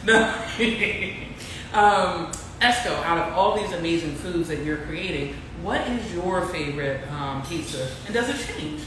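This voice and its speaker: A host with a Philadelphia accent chatting casually in an interview, animated and laughing often. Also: mid-range voice, measured pace. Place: pizzeria on location. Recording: echoey room, far mic, noise.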